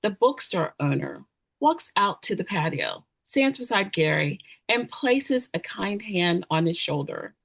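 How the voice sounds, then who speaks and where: A woman's voice: fairly low pitch, big pitch swings, unhurried, gravelly, a bit bright, breathy edge. A woman narrating stage directions at a screenplay table read, sometimes stumbling over and repeating words.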